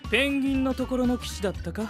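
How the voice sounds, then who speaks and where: Deadpan, coolly logical young knight. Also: male voice, very high voice, very fast, over music. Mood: detached. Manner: stiff, analytical, deadpan phrasing. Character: coolly logical, cynical young fantasy knight, scientific observer. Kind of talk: anime audio drama acting.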